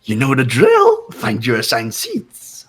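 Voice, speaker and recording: A cruel, gleeful ringmaster of a bear who mocks the students in a sing-song drawl. The voice leaps all over the scale with a husky, breathy edge. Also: male voice, medium pitch, wildly swooping pitch, slow pace, fairly bright tone, faint music behind, heavily acted. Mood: taunting. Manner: mocking, sing-song, taunting. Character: gleeful sadistic bear headmaster running a deadly trial. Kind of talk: fan voice acting of a game.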